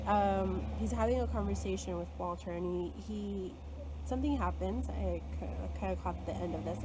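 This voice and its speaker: A woman's voice: mid-range voice, background noise. Earnest woman coaching herself aloud. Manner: earnest, self-coaching, frequent "like" fillers and soft laughs. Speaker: spiritual content creator. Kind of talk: reflective solo talk.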